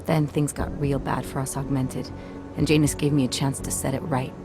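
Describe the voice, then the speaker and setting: An actress playing a tough, embittered ex-pilot turned rebel in a video game, blunt and streetwise. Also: female voice, extremely low pitch, little pitch movement, moderate pace, music in the background. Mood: bitter, earnest. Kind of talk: video game cutscene dialogue.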